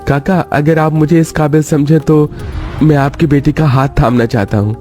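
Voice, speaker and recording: Mellow, respectful young labourer, acted by a man. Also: a little high, fairly even intonation, normal speed, soft background music, lightly acted. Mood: polite, earnest. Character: polite, earnest young poor mill labourer. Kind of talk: Hindi cartoon story acting.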